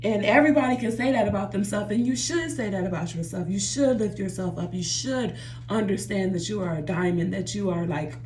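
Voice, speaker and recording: A woman's voice: mid-range voice, fairly quick, a little mellow, reverberant, a little hiss or hum. A woman talking earnestly to her viewers in a personal vlog from her bathroom, often repeating herself and calling them "y'all". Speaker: vlogger. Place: home bathroom by a running jacuzzi.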